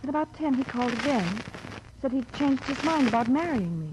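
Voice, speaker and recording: Noisy old radio drama, a scared young american woman, highish voice, pleading and halting. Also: lively intonation. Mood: anxious, earnest. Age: young adult. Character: earnest, frightened young woman accused of murder. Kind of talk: 1940s-50s American radio drama acting.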